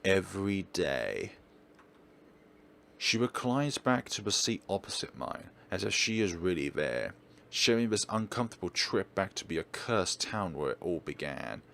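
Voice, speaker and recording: A man's voice: sits low, very animated, unhurried, gravelly, a bit bright, a little hiss or hum. A man doing let's play commentary, thinking aloud and reading visual novel text as he goes.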